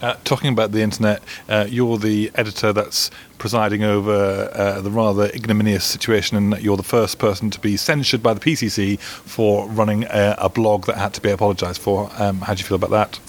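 A man from Scotland hosts the show, asking quick, probing questions. His voice sits low and his pace is fast and brisk. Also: level tone. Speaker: podcast host, interviewer. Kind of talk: casual interview and chat.

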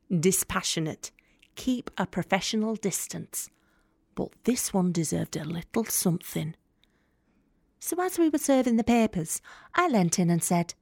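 A woman's voice: mid-range voice, no room sound, clean studio sound. A woman acting a scornful, hard-nosed working-class debt collector in an audio drama monologue, blunt, colloquial and sarcastic. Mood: smug, indignant.

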